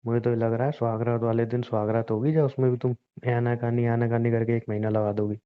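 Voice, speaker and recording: A man's voice: mid-range voice, little pitch movement, quick pace, clean tone. A young man chatting casually as a boyfriend, in a teasing, pushy, informal manner. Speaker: boyfriend in a couple's conversation. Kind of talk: casual intimate couple chat in Hindi. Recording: dull, lo-fi call-style recording.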